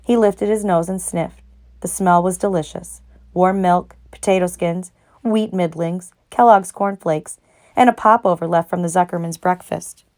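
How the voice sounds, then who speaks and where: A woman with a fairly low-pitched voice reads a children's story aloud. She narrates expressively, as a storyteller. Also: fairly even intonation, moderate pace, darkish tone. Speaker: narrator, read-aloud reader.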